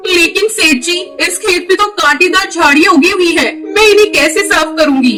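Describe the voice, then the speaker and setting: A woman's voice: very high voice, normal speed, distant mic. A woman voicing a devoted mother bird in a Hindi moral-story cartoon, speaking in an earnest, melodramatic way. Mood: pleading. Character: grieving, devoted mother bird, gentle and self-sacrificing.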